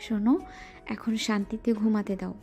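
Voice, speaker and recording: A woman's voice: mid-range voice, level tone, normal speed, a little mellow, close to an everyday voice. A female voice actor playing a shy, playful young wife who scolds her husband coyly in an audio drama. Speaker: voice actress. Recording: music bed underneath.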